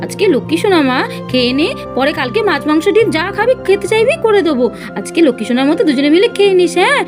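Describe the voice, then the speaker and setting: A woman's voice: very high-pitched, very animated, rapid-fire, music in the background, voice pushed down. A woman voicing a busy mother in a doll cartoon, coaxing and giving instructions. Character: busy mother, fussing and soothing.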